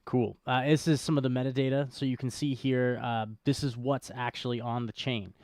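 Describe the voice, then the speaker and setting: Male co-host, casual explainer. Clean, resonant tone, mid pitch, very dry sound. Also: flat delivery, fairly quick, darkish tone, dry recording. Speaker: co-host of a crypto show. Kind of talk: casual explanatory livestream talk.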